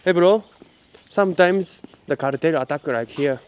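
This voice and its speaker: A man's voice: a little high, a little slow, a little hiss or hum. A man from Japan, narrating a handheld travel vlog and chatting upbeat with people in simple Spanish and English. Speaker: travel vlogger. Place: outdoors at a migrant shelter by railway tracks.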